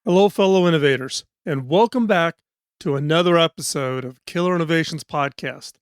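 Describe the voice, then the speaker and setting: A middle-aged man hosting a podcast in a studio. He talks in an earnest, measured, scripted way, and his voice is hoarse. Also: a little high, no room sound. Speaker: podcast host.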